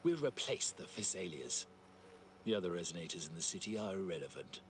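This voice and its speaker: Deep-voiced, cold family schemer. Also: male voice, big pitch swings, brisk, slightly rough, full character voice. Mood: cold, menacing. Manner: calculating, controlled, menacing. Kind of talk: dramatic video game dialogue.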